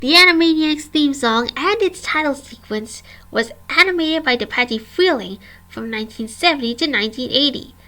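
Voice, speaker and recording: A woman's voice: high voice, bright, forceful tone, rough recording. A woman in her twenties, a vlogger reading a scripted history and reciting long lists of names and dates. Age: 20s.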